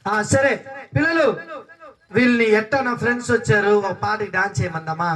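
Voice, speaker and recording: Give me a high-voiced man hosting a puppet show. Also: lively intonation, fairly quick, fairly bright tone. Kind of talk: animated banter with puppets for children. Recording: distant mic, live hall with crowd.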